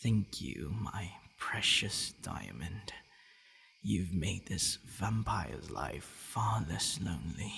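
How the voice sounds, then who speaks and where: A man playing a gentle, devoted vampire boyfriend in an intimate ASMR roleplay, speaking softly and haltingly. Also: low voice, lively intonation, a little hiss or hum, full character voice. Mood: tender. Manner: halting, soft-spoken, often dropping to breathy murmurs.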